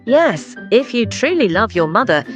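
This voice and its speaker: Woman doing a squeaky sly tortoise. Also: very animated, moderate pace, lo-fi sound, faint music behind. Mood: sly, wheedling. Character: small slow crafty tortoise, sly trickster. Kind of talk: storybook narration.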